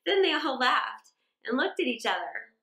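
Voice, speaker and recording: A middle-aged woman tells a children's story in a high voice with big swings of pitch. She stretches key words for dramatic emphasis. The room adds a noticeable echo. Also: gravelly. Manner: expressive, drawn-out emphasis. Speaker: storyteller reading aloud for children. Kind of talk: dramatic scripted story reading. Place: small indoor room. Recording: roomy indoor echo.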